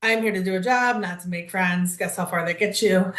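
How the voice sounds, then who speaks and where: A woman's voice: fairly low pitch, normal speed, a bit bright, reverberant. A woman leadership coach from Wisconsin introducing herself on a video call, upbeat and direct.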